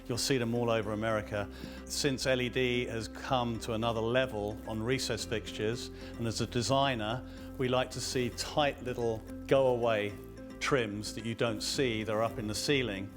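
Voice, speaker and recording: A male lighting designer explains his products as an interview guest. His voice has a medium pitch, and music plays clearly behind him throughout. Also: steady tone, normal speed. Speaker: lighting designer and business owner.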